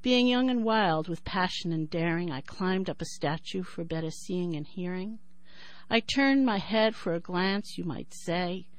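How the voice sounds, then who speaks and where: A woman in her sixties with a New York accent who talks thoughtfully with many pauses and then reads her poem in a measured rhythm. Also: fairly low pitch, expressive, no room sound, low-quality recording. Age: 60s. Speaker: poet and writer. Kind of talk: interview and poetry reading.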